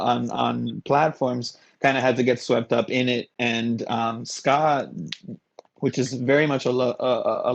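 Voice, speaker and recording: A man from Miami with a fry-heavy voice talks casually as a musician guest. His talk is slangy and emphatic, and the recording is dull and lo-fi. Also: mid-range voice. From Miami, Florida, United States. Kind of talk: casual chat. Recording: dull, lo-fi voice pickup.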